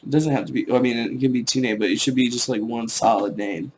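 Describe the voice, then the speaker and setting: Dude hosting a stream, joking around and cursing, bit of hiss behind. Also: male voice, medium pitch, fairly even intonation, fast talker, darkish tone. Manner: joking, swearing, loose banter. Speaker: stream host. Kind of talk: casual chat.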